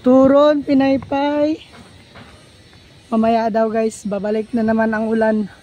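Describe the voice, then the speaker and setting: A woman with a Davao Cebuano accent who drives a pedicab and vlogs, calling out for passengers on quiet residential streets and chatting casually. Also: fairly high pitch, little pitch movement, faint music behind. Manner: slow, drawn-out repeated calls for riders. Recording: muffled outdoor audio with faint street noise.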